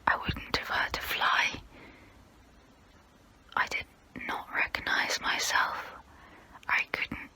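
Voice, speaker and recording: A woman playing a centuries-old female vampire, guilt-ridden and gentle yet predatory, in an intimate, breathy, halting ASMR role-play whisper. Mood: remorseful. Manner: slow, halting, intimate, soothing. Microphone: close-up microphone.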